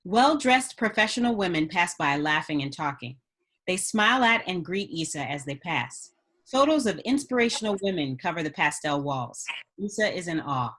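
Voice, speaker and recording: A woman with a fairly low voice reads screenplay action lines. She works as the narrator, setting each scene in scripted narration. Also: sing-song, normal speed, fairly bright tone. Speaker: narrator reading stage directions.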